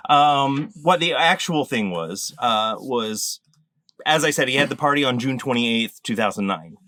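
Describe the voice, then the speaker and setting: Male game host, mid pitch, bright, halting and rambly. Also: expressive, no echo. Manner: rambling, halting with many fillers. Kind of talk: casual group chat over a call.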